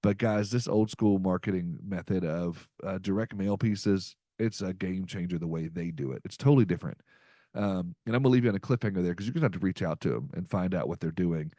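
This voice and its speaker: A man's voice: low-pitched, fairly quick, warm tone, dry recording, clean studio sound. A man hosting a podcast, chatting casually in an upbeat, folksy way with lots of fillers. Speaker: podcast host. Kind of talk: casual podcast chat with ad reads.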